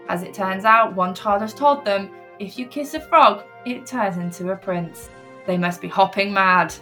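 A woman's voice: mid-range voice, bright, forceful tone. A British woman reading a scripted comic news segment in a playful newsreader style.

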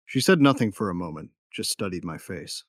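Tough bounty hunter narrator; low, close, dry sound, brooding with a tender streak. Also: male voice, animated, brisk, dry recording. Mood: brooding, intimate. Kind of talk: first-person audio drama narration.

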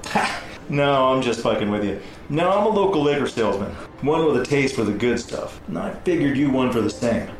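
An actor playing a smooth, cocky, foul-mouthed villain in an audio drama thriller, knowing, teasing and self-assured. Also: male voice, mid-range voice, animated, a little fast, lightly acted. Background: music.

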